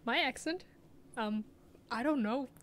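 A woman's voice: on the high side, a little slow, a bit bright. Chatty German woman streaming a game. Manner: chatty, laughs often, reads chat aloud. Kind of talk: casual gameplay commentary.

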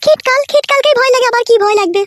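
Squeaky, fast-talking cartoon Bengali wife. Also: female voice, dry recording, clean studio sound. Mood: worried. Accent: rural West Bengal. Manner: crude, nagging, rapid-fire. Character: sharp-tongued, scolding middle-aged Bengali wife. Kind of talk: cartoon comedy dialogue.

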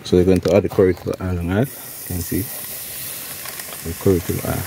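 A man's voice: sits low, level tone, brisk. A man with a Jamaican accent casually narrating his cooking as host, often repeating phrases and tags. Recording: clear background noise, pot sizzling and clatter.

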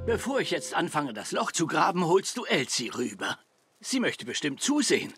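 A man voicing a kindly, patient old grandfather zebra in a dubbed children's cartoon, gentle and reassuring. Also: a little high, very animated, normal speed, breathy edge, faint music behind, exaggerated voice.

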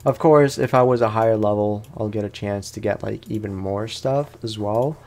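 A man's voice: fairly low pitch, normal speed, darkish tone. A man doing casual let's play commentary, talking in an informal, slangy way and often repeating his phrases. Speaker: gaming streamer.

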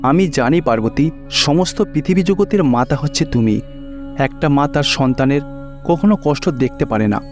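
A man voicing a calm, compassionate male god in a cartoon devotional tale, speaking in a solemn, gentle, patient manner. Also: mid-range voice, normal speed, soft background music, pitched below the performer's own voice, natural voice.

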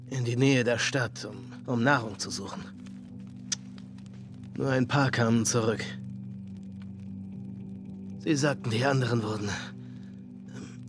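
An actor playing a calm middle-aged survivor leader in a German game dub, softly persuasive and measured, soothing yet menacing. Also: male voice, mid-range voice, close to an everyday voice.

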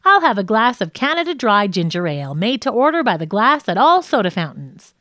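A woman's voice: medium pitch, sing-song, a little fast, sharp tone, no echo, studio quality. A woman voicing a wry, slightly impatient male comedian from a radio comedy script in an audiobook.

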